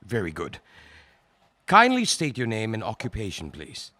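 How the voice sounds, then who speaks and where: A man acts out a male prosecutor in a courtroom drama, grave and courteous. His formal questions rise and fall dramatically in pitch. The sound is dry, with hardly any room. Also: wildly swooping pitch, pushed and bright, dry recording, heavily acted. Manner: formal, measured, solemn. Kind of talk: stage-play courtroom acting.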